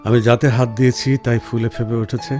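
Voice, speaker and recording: A male actor plays a devoted husband in a radio play. His voice sits at a middling pitch and he persuades softly, with tender patience. Music plays clearly behind him. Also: level tone, fairly quick, close to an everyday voice. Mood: amused, reassuring. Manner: tender, reasoning, gently persuasive. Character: affectionate, well-off husband reassuring his sensitive wife. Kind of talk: Bengali radio play acting. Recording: music under the dialogue. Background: background music.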